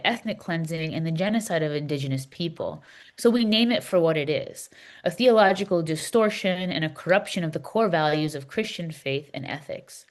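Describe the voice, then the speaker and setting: A woman's voice: lowish voice, natural voice. A woman in a readers theater playing an impassioned Palestinian advocate, speaking in an earnest, emphatic, declarative way. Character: impassioned Palestinian woman explaining a church document. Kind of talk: scripted readers theater dialogue.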